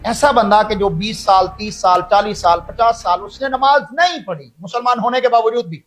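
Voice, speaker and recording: A man, an Islamic cleric, preaching in a forceful, declamatory sermon style. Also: high voice, normal speed, soft background music. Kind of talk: religious sermon.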